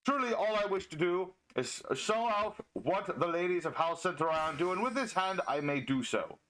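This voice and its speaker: A man's voice: high voice, lively intonation, moderate pace, fairly bright tone, a little hiss or hum, low-quality recording. A man playing a pompous, chivalrous medieval knight, commentating on a card game in mock-archaic speech. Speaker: gaming video host and player.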